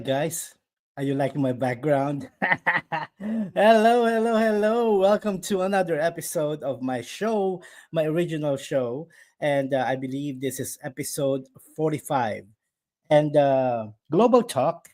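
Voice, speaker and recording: A bubbly Filipino man hosts a casual live chat from his upstairs room at home, laughing often and mixing Tagalog phrases into his English. His voice sits a little higher than most men's. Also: darkish tone. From Philippines. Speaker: host.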